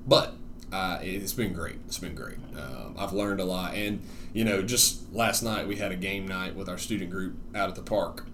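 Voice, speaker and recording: A young man with a deep, low voice talks easily on a podcast about his church work. He often pauses, backtracks and fills gaps with ums. A faint hiss sits under the recording. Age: young adult. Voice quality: relatively deep voice. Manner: relaxed, halting, many ums and restarts. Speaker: church worship director and teacher. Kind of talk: casual podcast conversation.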